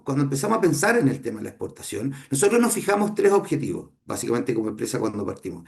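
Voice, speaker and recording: A middle-aged man with a Chilean accent lectures students. His voice is gravelly and pitched mid-range for a man. He talks it through unhurriedly and often stops to rephrase. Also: a little mellow. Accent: Chilean Spanish. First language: Spanish. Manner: unhurried, thinking aloud with many fillers and restarts. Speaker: business owner teaching a class.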